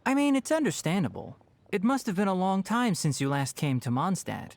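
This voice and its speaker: Woman playing a lively, polite young man. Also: medium pitch, a little fast, slight background noise. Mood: wry. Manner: courteous, mildly exasperated. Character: polite, wry young male fox-eared forest watcher. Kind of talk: video game voice acting.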